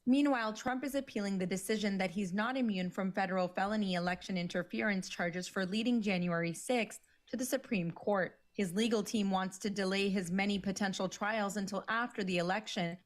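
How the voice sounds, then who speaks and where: A woman newscaster reads a scripted bulletin. Her pitch is mid-range and her delivery is even and measured. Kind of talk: scripted news read.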